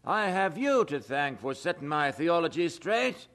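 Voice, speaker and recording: Glib, swooping tippling preacher. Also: male voice, a little high, sing-song, a little slow, fairly bright tone, heavily acted. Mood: smug, jovial. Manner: mocking, glib, chuckling. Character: cynical, tippling, self-justifying tavern-going minister. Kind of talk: audio drama acting.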